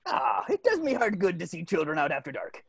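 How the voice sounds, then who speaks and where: An actor voicing a gruff, bossy male ghost pirate captain with a raspy, blustering delivery, in a cartoon script reading. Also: mid-range voice, wildly swooping pitch, brisk, fairly bright tone, slight background noise, exaggerated voice. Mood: grudging. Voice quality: raspy, gravelly. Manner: theatrical, blustering, swooping.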